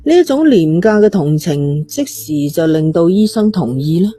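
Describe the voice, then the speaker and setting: A woman's voice: on the low side, expressive, moderate pace, dark tone, husky, no room sound. A woman with a Hong Kong Cantonese accent reads a scripted horror-mystery story as its narrator. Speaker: audio novel narrator.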